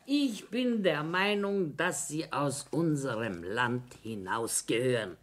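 A woman's voice: deep voice, lively intonation, slow, exaggerated voice. A woman acting a dry, matter-of-fact middle-aged hausfrau in a naturalistic radio drama, speaking firmly. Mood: complacent, matter-of-fact. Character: Mara, dry unhurried middle-aged Bavarian woman, card-playing hausfrau.

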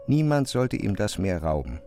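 A man reads scripted literary narration for an audio drama. His voice sits a little low, and music plays softly behind it. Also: big pitch swings, moderate pace.

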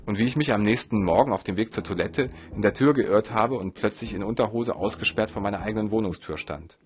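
A man narrating his own story from a script in a measured, literary storytelling manner. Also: on the low side, slight background noise. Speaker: narrator and filmmaker.

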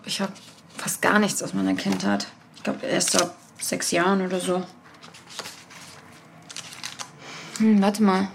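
A haunted woman podcaster on a search in an audio drama. Her mid-pitched voice carries a lot of creak, and she speaks with earnest intensity. A little background noise sits behind her. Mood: reflective. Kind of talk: audio drama, first-person podcast narration.

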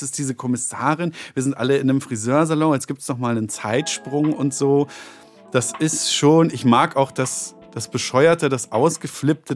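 Chatty male actor in interview. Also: medium pitch. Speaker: actor and voice-over speaker being interviewed. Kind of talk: casual interview chat.

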